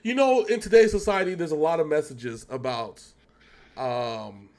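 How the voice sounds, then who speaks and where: Emphatic man hosting a reaction show, higher-pitched voice. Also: moderate pace, a little mellow. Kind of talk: casual video reaction commentary.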